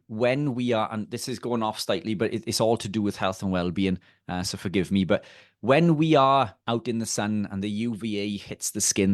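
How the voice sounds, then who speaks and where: A man's voice: fairly low pitch, dry recording, clean studio sound. A man with a Welsh accent, a guest on a video call, explaining health topics in a casual, hesitant way with many fillers and restarts. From Wales.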